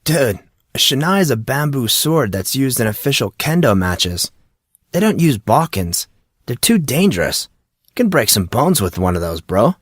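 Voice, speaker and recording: A man acts out a teenage boy for an audiobook, an enthusiastic martial arts student. He talks in loose, slangy bro-talk with lively swings in pitch. The sound is dry and close. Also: normal speed, breathy edge, no room sound. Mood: eagerly explaining. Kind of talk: audiobook character dialogue.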